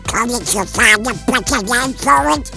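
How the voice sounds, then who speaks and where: A performer voicing a grumpy, sarcastic male duck superhero in a comic dub, with a creaky, sharp voice. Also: mid-range voice, a little slow, over music. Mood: dry, confident. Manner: sardonic, complaining.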